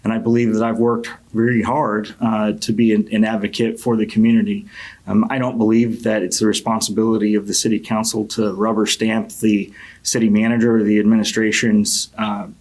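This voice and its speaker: A middle-aged man with a fairly low voice and very little melody delivers a campaign opening statement. He comes from Connecticut and speaks as a council member, earnest and measured, often pausing and restarting. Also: monotone, a little mellow. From Connecticut, United States. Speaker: city council member and candidate.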